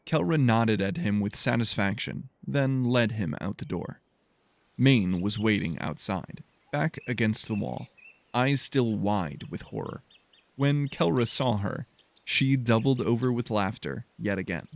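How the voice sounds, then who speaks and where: A man narrating scripted fiction as an audiobook, in a measured storytelling manner. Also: fairly low pitch, lively intonation, creaky.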